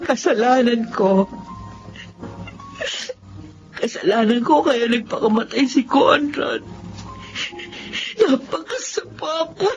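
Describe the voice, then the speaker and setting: A woman's voice: on the high side, lively intonation, slow pace, long pauses. A woman playing a guilt-ridden, pleading wife, tearful and remorseful, in melodramatic radio drama style. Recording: muffled, music behind.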